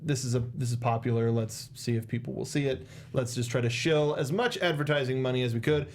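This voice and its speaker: A young man hosting a movie discussion, talking casually with halting, repeated words into a close studio microphone. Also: mid-range voice, a little mellow, a little hiss or hum. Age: young adult. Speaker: show host. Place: small indoor podcast studio. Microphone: close studio microphone with foam cover, headset monitoring.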